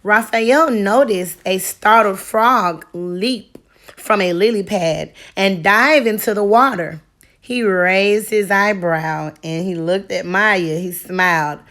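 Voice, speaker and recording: Slow-paced woman reading a children's storybook. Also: medium pitch. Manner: deliberate, expressive storytelling. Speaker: teacher reading aloud. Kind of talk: expressive children's picture book read-aloud.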